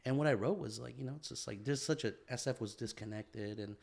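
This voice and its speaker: A man at an ordinary middle pitch, chatting casually on a podcast. He rambles and restarts often, leaning on fillers, and rushes ahead when a point excites him. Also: creaky. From San Francisco Bay Area. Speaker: podcast co-host, photographer. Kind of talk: casual chat.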